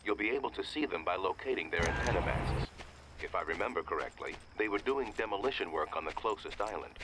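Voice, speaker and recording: A man playing a brisk, dry mission handler giving instructions in a video game. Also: low voice, lively intonation, heavy background noise. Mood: urgent. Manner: businesslike, dry, urgent instructions.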